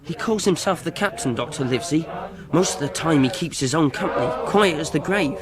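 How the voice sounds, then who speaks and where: An actor playing an earnest teenage innkeeper's boy who also narrates in a radio drama, husky and plain-spoken. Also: a little high, lively intonation, a little fast, a loud crowd, lightly acted. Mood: quiet, confiding.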